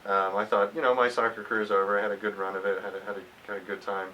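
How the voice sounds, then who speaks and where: A man in his fifties from Texas, giving thoughtful, halting answers in a reflective interview. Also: lowish voice, little pitch movement, sharp tone, highs cut off. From United States, grew up in Texas. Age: 50s. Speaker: alumni interviewee, former college athlete. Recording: hissy, distant microphone.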